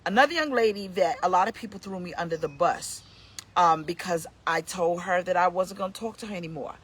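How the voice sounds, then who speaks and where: A woman's voice: on the low side, brisk, slightly rough. A woman in her fifties hosting a casual motivational talk, emphatic and preachy, repeating her key points. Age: 50s. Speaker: host, pastor.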